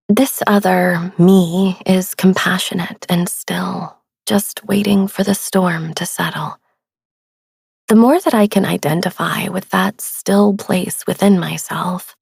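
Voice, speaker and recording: A woman narrates guided relaxation softly and without hurry, close to the microphone in a very dry space. Her voice is breathy and on the low side, with noticeable creak. The delivery is gentle and soothing. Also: no room sound. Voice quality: soft, breathy. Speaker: host of a sleep meditation podcast. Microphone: close studio microphone, dry.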